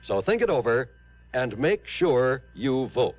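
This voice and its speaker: A man working as a radio announcer, reading a script in emphatic, measured mid-century broadcast style. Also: mid-range voice, very animated, soft background music. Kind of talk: scripted 1950s-60s radio announcing and public appeal. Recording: muffled old radio broadcast.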